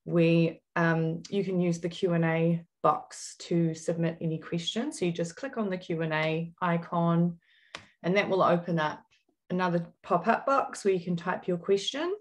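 A woman's voice: lowish voice, normal speed. A woman hosting a webinar on a video call, explaining the Q and A and introducing the speaker. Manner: friendly, instructive, some fillers. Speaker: webinar host. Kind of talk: event housekeeping and speaker introduction. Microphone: computer mic on video call.

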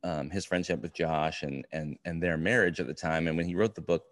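Very deep-voiced man, halting chat. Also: fairly even intonation, dry recording, studio quality. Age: middle-aged. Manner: halting, rambling. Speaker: podcast co-host. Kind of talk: casual book review chat.

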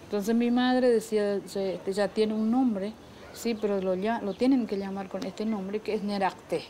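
A middle-aged woman, recalling her life conversationally and haltingly, searching for words. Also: medium pitch, fairly even intonation, warm tone, slight background noise. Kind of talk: conversational personal recollection. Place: leafy patio, outdoors.

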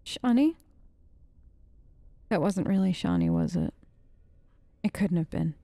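A woman's voice: lowish voice, huge pitch swings, takes it slowly, mellow, dry recording. A woman streamer giving casual, playful gameplay commentary and talking back to the game's characters. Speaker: let's play streamer. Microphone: close, dry mic.

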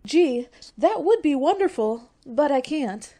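An eager young secretary played by a woman in a radio comedy. Her high, mellow voice swoops around as she gushes naively. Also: expressive, measured pace. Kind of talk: old-time radio comedy acting.

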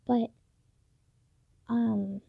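A teenage woman talking softly late at night in her bedroom, halting with many fillers, recorded on a built-in mic. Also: a little high, flat delivery, gravelly, dry recording. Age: late teens. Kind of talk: late-night personal vlog. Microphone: phone or camera built-in mic, close. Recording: dull lo-fi built-in mic, dry.

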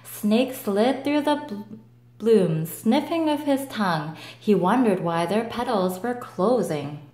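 Woman, storybook read-aloud, slow and lively, higher voice, echoey room. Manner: slow, expressive, voicing each animal with lifts in pitch. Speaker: storybook reader. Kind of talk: children's picture book read-aloud. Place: table with picture book, indoors.

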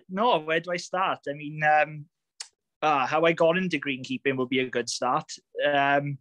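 A man's voice: on the high side, fairly bright tone, no room sound, rough recording. A man in his thirties with a Welsh accent, chatting casually as a podcast guest over a video call, laughing often and filling with ums. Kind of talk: casual storytelling chat.

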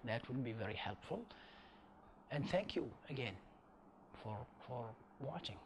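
Animated man with an Arabic accent. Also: medium pitch, unhurried, slight background noise. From Bahrain. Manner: animated, emphatic, gestures while explaining. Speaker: science video host. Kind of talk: explainer to camera.

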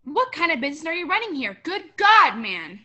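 Casting: young woman as contemptuous moneyed villainess, bright high voice, imperious radio play delivery. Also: big pitch swings, fairly quick, full character voice. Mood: contemptuous outrage. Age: young adult. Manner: imperious, scornful. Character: mean, rich, contemptuous businesswoman villain.

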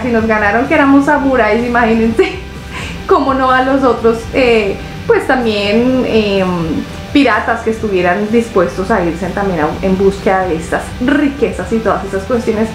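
A young woman with a Colombian accent, chatting casually to camera from her couch as a reaction vlogger, expressive and full of drawn-out fillers. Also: fairly high pitch, reverberant, low-quality recording. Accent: Colombian Spanish. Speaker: reaction video host. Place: living room, seated on a couch.

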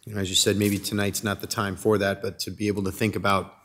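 A man chairing a city council meeting as mayor, polite and brisk with procedural lines, at a desk microphone in a council chamber. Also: fairly low pitch, little pitch movement, fairly bright tone, slight background noise.

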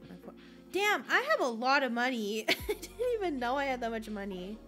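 A woman's voice: on the high side, big pitch swings, moderate pace, a bit bright, soft background music. A woman streaming a farming video game, chatting casually with viewers, giggling often and reading game lines aloud.

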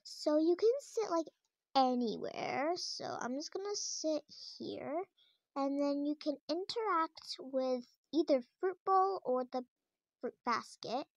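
A young girl with a high voice chats her way through a tour of her game house, rambling and restarting often. She films the tablet screen from close up in a dry, close-sounding room. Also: animated, takes it slowly, dry recording. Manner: chatty, rambling, many fillers and restarts. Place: indoors, filming a tablet screen.

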